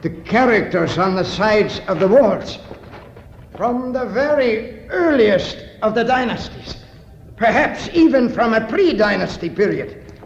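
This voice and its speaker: An earnest, middle-aged archaeologist and father with a raised, very lively voice. He sounds learned and easily excited, in 1950s radio adventure style. The recording is muffled and hissy. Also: high-pitched, measured pace. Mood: amazed. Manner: scholarly, exclamatory, repeating words.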